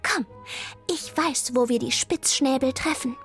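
A woman voicing a clever, eager female cat in a children's story, speaking briskly and decisively. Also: sits high, fairly bright tone, faint music behind, pitched above the performer's own voice.

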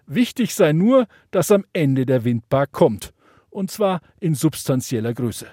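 A man reporting in a scripted news voice-over, neutral and even. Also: a little high, unhurried, dry recording, studio quality.

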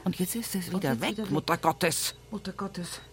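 An actress playing a devout, grieving middle-aged country woman in a radio play monologue, with a rambling, plaintive, often hushed way of talking. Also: fairly low pitch, quick pace, natural voice. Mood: fretful, pleading. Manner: rambling, plaintive, repetitive, often dropping to hushed breathy murmurs. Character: devout, grieving rural Bavarian woman at her mother's sickbed. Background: prominent music.

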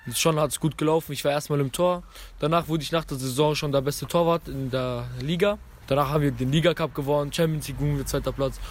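Fast-talking young man, higher voice. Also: steady tone. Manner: rushed, strings clauses with "danach". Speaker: youth league player. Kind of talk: casual interview answer.

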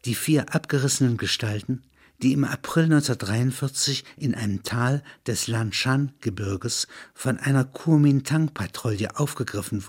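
Male narrator, mid-pitched and husky, literary reading with explanatory asides. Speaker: narrator and reader.

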